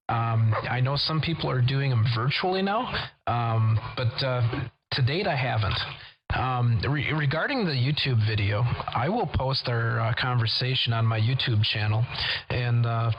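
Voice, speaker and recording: American man on a muffled CB radio. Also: medium pitch. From United States. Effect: CB radio transmission. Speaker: CB radio operator who records contacts. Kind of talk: casual radio contact chat with procedure words. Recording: dull CB radio audio, faint static.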